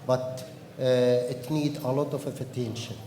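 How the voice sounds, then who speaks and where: A man speaks on a panel in slow, halting English, with a soft, mellow tone. His voice rings out through a big reverberant conference hall. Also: mid-range voice, flat delivery. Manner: halting, simple non-native English phrasing. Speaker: horse breeder on a panel. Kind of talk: panel discussion answer.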